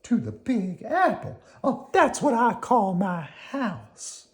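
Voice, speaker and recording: Woman as a friendly female country worm, raised pitch, slow, welcoming, unruffled, storybook. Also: huge pitch swings. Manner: welcoming, matter-of-fact, unhurried. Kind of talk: children's storybook character voice.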